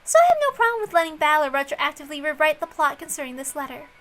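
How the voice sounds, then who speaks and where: Woman voicing a smug, high-pitched young female detective. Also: very animated, fast, fairly bright tone. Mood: smug, taunting. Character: needling, polished young female detective rival. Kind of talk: visual novel voice reading.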